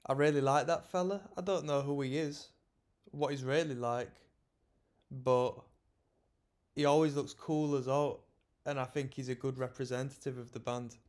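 A young man in his twenties hosting a music reaction, talking in a relaxed, rambling, slightly sleepy way from a small room. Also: medium pitch, moderate pace. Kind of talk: casual music reaction commentary. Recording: song playing faintly behind.

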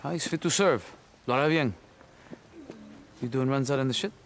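A male actor as a middle-aged military camp medic in a game, brisk and wry. He drops Spanish phrases into blunt English at a middling pitch, with some noise behind him. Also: close to an everyday voice. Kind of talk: video game dramatic acting.

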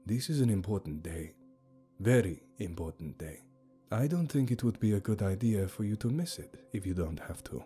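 A man voicing a calm, knowing male story character who speaks in a quiet, measured, cryptic way. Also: mid-range voice, soft background music, close to an everyday voice.

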